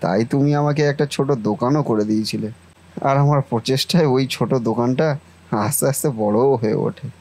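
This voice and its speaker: A man's voice: mid-range voice, brisk, a little mellow, natural voice. Man playing an earnest, indignant family-devoted brother. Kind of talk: cartoon family melodrama voice acting.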